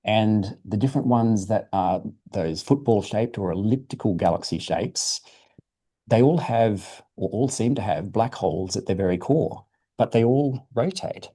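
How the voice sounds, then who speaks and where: A man with a low, very soft-edged and mellow voice answers science questions. He explains things informally for young listeners, in an encouraging, unforced manner. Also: unhurried.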